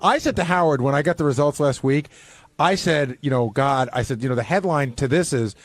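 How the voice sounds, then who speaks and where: A man in casual, animated discussion on a radio panel, analysing and recounting conversations. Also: mid-range voice, fairly quick, no room sound. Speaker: radio show staff member and panelist.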